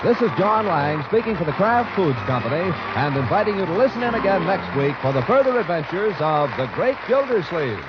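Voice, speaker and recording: A male announcer reading a scripted 1940s radio commercial and credits, persuasive and measured. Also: high-pitched, big pitch swings, a loud crowd. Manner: smooth, persuasive, spelling things out. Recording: dull 1940s radio broadcast.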